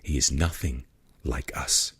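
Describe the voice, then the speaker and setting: A man voicing an ancient, domineering vampire with a cool, measured, menacing manner in an audiobook story. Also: very deep voice, steady tone, a bit bright, husky, voice pushed down, full character voice. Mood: cold contempt. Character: cold, domineering ancient male vampire, scheming and seductive.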